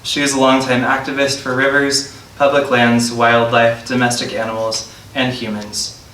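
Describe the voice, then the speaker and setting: A man's voice: mid-range voice, level tone, moderate pace, bright, forceful tone. A man acting as host, reading a scripted introduction of a featured guest aloud. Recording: roomy, distant mic, faint noise.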